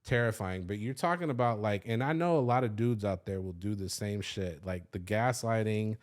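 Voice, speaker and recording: Thirties guy, kinda low voice, rambling honest story full of likes and cussing. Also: normal speed. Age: 30s. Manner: loose and confessional, swears freely, many "like" fillers. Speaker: podcast host. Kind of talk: candid personal storytelling.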